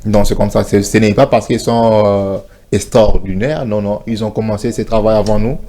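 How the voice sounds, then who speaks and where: A man's voice: fairly low pitch, fairly even intonation, moderate pace, dark tone. A man co-hosting a morning TV show, giving earnest advice in a casual studio chat and slipping into Lingala.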